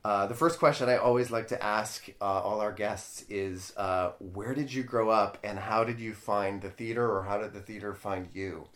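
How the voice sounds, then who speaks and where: Male interview host, low-pitched voice, conversational delivery. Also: normal speed, hardly any pauses, fairly bright tone.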